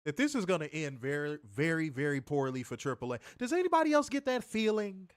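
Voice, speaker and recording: A man's voice: fairly high pitch, big pitch swings, fairly quick. A man co-hosting a wrestling review show, ranting bluntly and profanely while stuffed up with a cold. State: congested, stuffed up. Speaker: podcast co-host.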